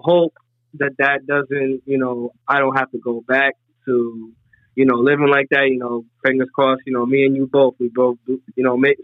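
A young man in his twenties talks in a loose, rambling way, with "you know" filling nearly every pause. His voice keeps to a narrow, flat pitch. It comes through a thin, phone-like line with a dry, close sound. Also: dry recording. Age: 20s. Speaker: podcast guest. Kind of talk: casual podcast conversation. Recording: tinny phone-like remote line.

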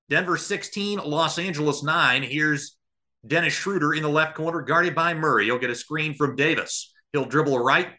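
A man's voice: on the high side, pushed and bright. A man calling live basketball as a radio play-by-play commentator, describing the action in short running phrases and adding analysis. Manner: present-tense running call in short clipped phrases.

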